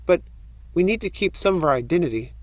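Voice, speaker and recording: A woman's voice: fairly low pitch, lively intonation, quick pace, no room sound. A woman voicing a cautious, practical young man in an audiobook story. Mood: cautious, businesslike.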